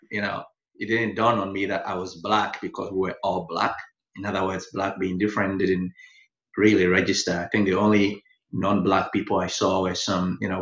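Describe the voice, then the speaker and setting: A man from Ghana with a fairly low voice tells his story in a relaxed, easy way, laughing as he goes. The recording is roomy and echoey, with a dull, lo-fi sound. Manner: relaxed, laughs while telling stories. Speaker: guest, in-house lawyer. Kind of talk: interview storytelling.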